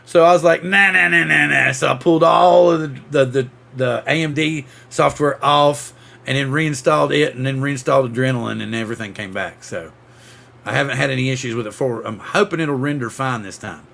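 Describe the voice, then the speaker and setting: A man's voice: mid-range voice, fairly quick, vocal fry, bright, forceful tone. A middle-aged man hosting a casual podcast chat, rambling and jokey, laughing often. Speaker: podcast host. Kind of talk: casual chat on a livestream panel.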